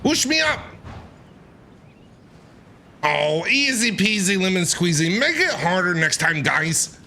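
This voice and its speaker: A male streamer reacting casually to a game, with a slightly high, bright and forceful voice. His pitch swings widely as he exclaims and playfully bosses the characters around. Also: very animated, slow pace, gravelly, slight background noise. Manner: playful, exclamatory, mock-bossy.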